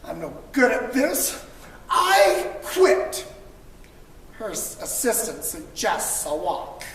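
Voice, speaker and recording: A woman reading a children's picture book aloud to school pupils, expressively, with dramatic emphasis and drawn-out words. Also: a little high, wildly swooping pitch. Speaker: storybook reader for school children. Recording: distant mic, echoey reverberant room, faint noise.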